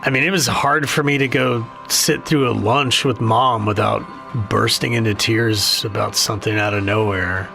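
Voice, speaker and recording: An actor playing a jaded, guarded, grieving younger brother in an audio drama, speaking haltingly and self-deprecatingly. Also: male voice, on the low side, steady tone, creaky, pushed and bright, soft background music. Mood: guarded, reflective. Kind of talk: naturalistic audio drama dialogue.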